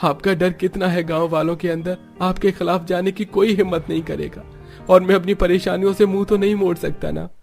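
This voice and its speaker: An actor playing a poor, troubled but patient young farmer husband in a cartoon story. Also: male voice, high voice, steady tone, a little fast, vocal fry, darkish tone, soft background music. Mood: pleading.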